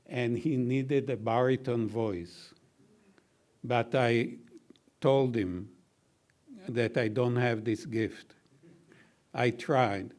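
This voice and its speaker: An older man with a baritone voice delivers closing remarks at a university reception, speaking very slowly and deliberately. His pitch sits in an ordinary middle range, and his gratitude comes with the odd gentle joke. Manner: slow, measured, gently humorous. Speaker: honoree giving closing remarks. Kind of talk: heartfelt formal thank-you speech.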